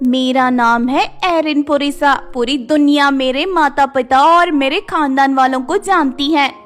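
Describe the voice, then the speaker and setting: A woman's voice: high voice, a little slow, faint music behind. A woman voicing a spoiled, rich young cartoon fairy with an arrogant, demanding manner. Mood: furious. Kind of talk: cartoon fairy-tale acting.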